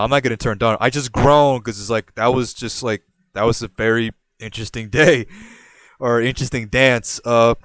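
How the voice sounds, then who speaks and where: A man's voice: medium pitch, no room sound. A young man from Puerto Rico hosting a podcast, telling a rambling story casually and jumping into quoted voices. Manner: animated, jumps into quoted voices, rambles and restarts. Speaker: podcast host.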